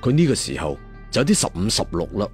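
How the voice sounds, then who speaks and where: A man's voice: fairly low pitch, wildly swooping pitch, fast, a little mellow, faint music behind. A man telling a dramatic story in a colloquial, traditional storyteller's manner, putting questions to his listeners. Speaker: audiobook storyteller.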